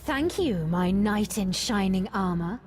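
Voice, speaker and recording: A woman's voice: medium pitch, expressive, unhurried, a little mellow, slight background noise, lightly acted. A woman playing a haughty, scheming villain in a fantasy video game, with an imperious, disdainful manner. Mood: mock gratitude. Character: haughty, scheming female villain raising undead army.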